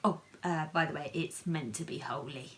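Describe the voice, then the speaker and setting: A woman reading a picture book to children in an animated, playful way, with chatty asides and questions to her listeners. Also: low-pitched, normal speed. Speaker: children's storybook reader.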